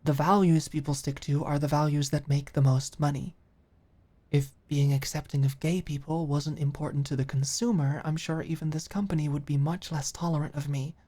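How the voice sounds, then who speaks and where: A woman playing an earnest, caring office manager in an intimate ASMR roleplay, speaking haltingly and with open emotion. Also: sits low. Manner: halting, sighing, emotionally expressive.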